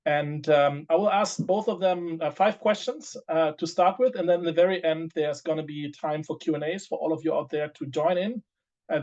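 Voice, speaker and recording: Moderator, male, slightly high voice, hesitant, lo-fi call audio. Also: darkish tone. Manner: hesitant, many fillers and restarts. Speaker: panel moderator. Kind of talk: webinar moderation.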